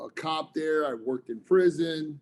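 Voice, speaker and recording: A man, speaking a touch higher than most men. He talks earnestly and at length, with plenty of fillers. He sounds like a guest in a casual podcast chat. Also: a little slow. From New Jersey, United States. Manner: earnest, rambling, frequent fillers. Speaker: author guest, former police officer.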